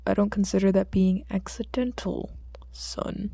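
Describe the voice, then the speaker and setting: Woman voicing a weary, creaky-voiced male police detective. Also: mid-range voice, steady tone, unhurried, warm tone, no echo. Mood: stern. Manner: exasperated, firm. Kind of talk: audiobook character dialogue.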